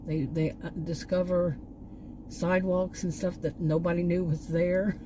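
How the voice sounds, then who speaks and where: A woman vlogger chatting casually, meandering and restarting her sentences, her voice going hoarse. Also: on the low side, level tone, unhurried, background noise. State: losing her voice. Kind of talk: rambling casual chat. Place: outdoors.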